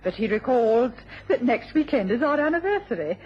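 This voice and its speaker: An actress playing a sweet, eager young British wife in an old radio drama, genteel and coaxing. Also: female voice, a little high, animated, moderate pace, husky. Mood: delighted. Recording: muffled vintage radio recording.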